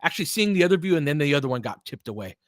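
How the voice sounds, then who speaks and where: A man's voice: a little high, sing-song, rapid-fire, no room sound. Man calling basketball, dry close sound. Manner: clipped short phrases, conversational asides. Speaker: play-by-play commentator and host. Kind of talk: casual live basketball play-by-play with analysis.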